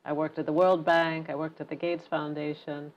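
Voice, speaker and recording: Low-voiced woman author from Zambia. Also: flat delivery, moderate pace, poor audio. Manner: laughs easily, fluent, warm storytelling with lists. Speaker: author guest. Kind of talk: relaxed conversational interview.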